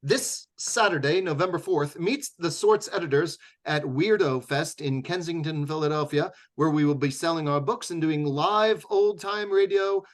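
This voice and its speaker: A man with a slightly high voice serves as the announcer of a live radio drama show. He reads scripted closing announcements in a formal, theatrical manner that recalls old-time radio. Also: moderate pace, few pauses.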